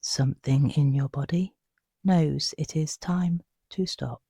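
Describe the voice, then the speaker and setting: A meditation guide with a soft, breathy voice, narrating a sleep meditation in a hushed, soothing, unhurried way with long pauses. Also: mid-range voice, no echo, studio quality.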